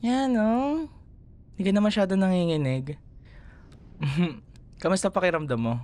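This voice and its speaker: A man's voice: high-pitched, a little slow, darkish tone. A man with a Filipino accent playing an affectionate, playful young boyfriend comforting a scared partner in an intimate ASMR roleplay. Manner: teasing, tender, chuckles often. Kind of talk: ASMR roleplay, intimate one-sided dialogue.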